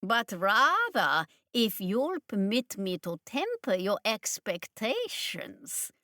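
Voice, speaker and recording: A woman's voice: mid-range voice, big pitch swings, slow, sharp tone, dry recording, studio quality, full character voice. A woman doing a jittery, fussy male scientist. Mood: nervous. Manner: fussy, formal, giggling, jittery. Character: nervous, hysterical older male scientist.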